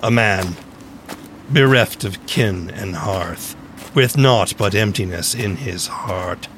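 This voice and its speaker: An actor playing a solemn bard who narrates a dark tale in archaic rhyme with a grave, theatrical manner. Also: male voice, fairly low pitch, takes it slowly, a little hiss or hum. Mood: solemn, brooding. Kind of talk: scripted verse narration.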